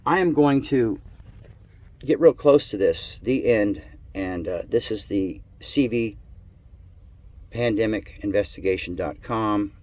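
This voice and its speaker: A man reading an article aloud in a sarcastic, theatrical manner, with drawn-out emphasis and muttered asides. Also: lowish voice, a little mellow. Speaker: amateur reader of an article.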